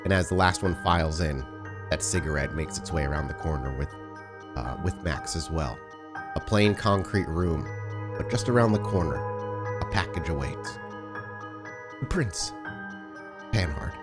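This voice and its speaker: A man with a low voice hosts a tabletop role-play podcast. He moves between casual host chat and storyteller narration, with music clearly audible behind him. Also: unhurried, pauses often.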